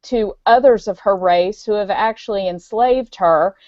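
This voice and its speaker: A woman's voice: medium pitch, a little slow, a little mellow, no echo, dull audio. A woman author talking casually on a podcast over an online call, explaining earnestly with fillers. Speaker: guest author. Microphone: video call.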